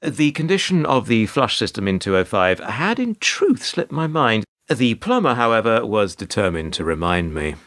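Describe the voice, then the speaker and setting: A man's voice: lowish voice, big pitch swings, fairly bright tone. Dry, wry actor as put-upon male narrator. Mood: polite, exasperated. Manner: dry, sardonic, measured storytelling. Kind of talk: radio play first-person narration.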